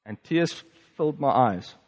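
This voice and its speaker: A man's voice: mid-range voice, expressive. South African man preaching in a reverberant hall. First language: Afrikaans. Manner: reflective, conversational, halting with fillers. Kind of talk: personal testimony sermon.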